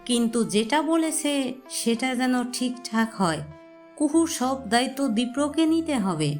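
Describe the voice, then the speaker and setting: A woman's voice: fairly high pitch, sing-song, unhurried, faint music behind. A woman playing a stern, commanding old grandmother who heads the family in a dramatised audio story. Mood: grave, resolute. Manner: commanding, firm.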